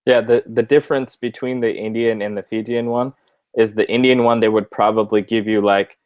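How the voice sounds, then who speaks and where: Male interview guest, from the US, lowish voice, hesitant, casual, dry close sound. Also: steady tone, fairly quick, darkish tone, no echo. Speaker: interview guest, stand-up comedian. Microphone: internet call.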